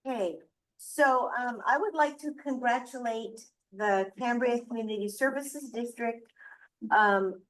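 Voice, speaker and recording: A woman's voice: mid-range voice, far from the mic, poor audio. A woman who is board president, chairing a public meeting in a district meeting room, speaking hesitantly with many ums and restarts.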